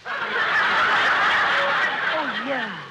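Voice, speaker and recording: A woman playing a sweet, excitable, starstruck old lady in 1940s radio comedy, with a gushing manner. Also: very slow. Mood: thrilled. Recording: noisy, crackly old radio recording.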